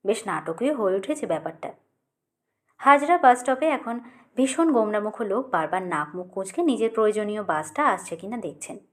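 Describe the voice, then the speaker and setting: A woman's voice: medium pitch, expressive, a little fast. A woman narrating a literary short story expressively, as an audiobook reader.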